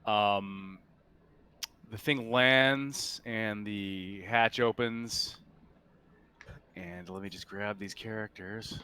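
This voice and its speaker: A man narrating a tabletop roleplaying game as game master, casually and in halting, improvised phrases. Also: on the low side, steady tone, sharp tone, clear, resonant tone.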